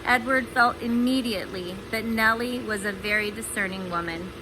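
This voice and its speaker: A woman's voice: mid-range voice, moderate pace, background noise. A woman reading a storybook aloud for children, with chatty asides to the listeners. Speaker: storybook reader.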